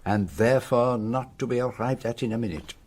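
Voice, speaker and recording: An actor playing a cerebral, self-assured Belgian detective in a radio play, speaking precisely and formally with a French-speaking Belgian accent. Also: male voice, mid-range voice, a little mellow, a little hiss or hum, lightly acted. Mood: measured, self-assured. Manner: precise, deliberate, formal phrasing. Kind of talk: radio drama acting.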